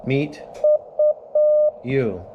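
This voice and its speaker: A man from Canada chats through his ham radio contact in a laid-back, filler-heavy way at a middling pitch. The action-camera audio is noisy, with beeping code tones in the background. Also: extremely slow, muffled recording. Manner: relaxed, explanatory, with many fillers. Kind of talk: casual narration of a radio contact.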